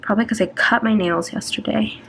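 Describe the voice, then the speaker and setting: A woman's voice: medium pitch, fairly even intonation, fairly quick, creaky, slight background noise. A young woman in her twenties chatting while she decorates a planner, rambling and self-conscious, with lots of ums and restarts. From Atlanta, Georgia, US. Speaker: planner vlogger.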